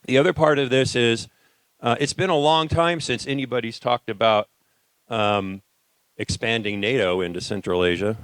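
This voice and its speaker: A man's voice: mid-range voice, moderate pace, fairly bright tone, smooth, no echo. A man moderating a conference panel, talking loosely and conversationally with frequent fillers and restarts. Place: conference hall.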